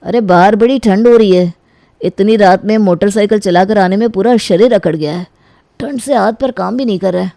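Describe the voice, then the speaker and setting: Woman playing a sly, mellow-toned young brother-in-law. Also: mid-range voice, moderate pace, vocal fry, no echo, studio quality, close to an everyday voice. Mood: casual. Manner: insinuating, taunting, pushy.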